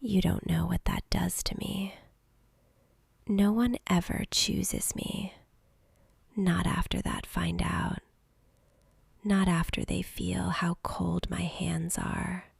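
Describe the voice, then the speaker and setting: Woman doing a lonely vampire girl asmr roleplay, breathy close whisper, crackly, super slow n tender. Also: fairly low pitch, darkish tone, no room sound, heavily acted. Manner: slow, intimate, hesitant pleading. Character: lonely centuries-old vampire girl, possessive, tender, vulnerable. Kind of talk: whispered soft-spoken ASMR romantic roleplay. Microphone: close mic.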